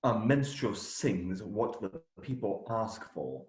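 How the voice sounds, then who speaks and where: An actor playing a defiant, lovestruck young minstrel in a radio play. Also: male voice, fairly low pitch, very animated, takes it slowly, a little mellow. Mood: earnest. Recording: roomy, echoing voice.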